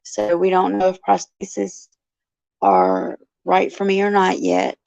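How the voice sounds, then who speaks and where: A woman hosting her show with a raspy, gruff voice, chatting in a folksy way and answering viewers by name from a care facility. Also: on the low side, very animated, slow, a little mellow, dry recording. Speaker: show host. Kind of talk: casual chat, answering viewers. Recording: dull lo-fi, dry sound.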